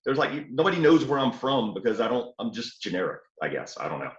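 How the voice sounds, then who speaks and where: A man from New Orleans with a light Southern accent, explaining his Jeep build step by step in a relaxed, casual way. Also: mid-range voice, a little fast, reverberant, highs cut off. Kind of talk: casual technical chat. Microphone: remote call.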